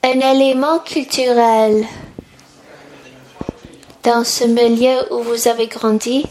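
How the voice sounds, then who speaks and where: A woman's voice: fairly high pitch, fairly even intonation, takes it slowly, darkish tone, slight background noise. A woman interpreting a sermon, delivering the preaching phrase by phrase.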